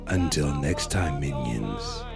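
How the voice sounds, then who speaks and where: A horror channel host delivers a theatrical sign-off in an extremely deep voice. The delivery is very slow and sinister, with music playing behind. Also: lively intonation, gravelly. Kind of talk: scripted sign-off.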